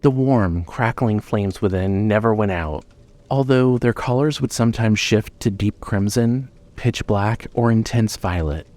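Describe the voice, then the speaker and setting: Male narrator for horror audio fiction, lowish register, measured and dramatic. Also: a little mellow.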